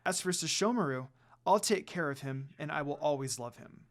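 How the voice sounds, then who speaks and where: A man's voice: on the high side, moderate pace, close to an everyday voice. A man voicing a curious, kind young priestess with an earnest, questioning manner in a fan fiction reading. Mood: friendly, earnest.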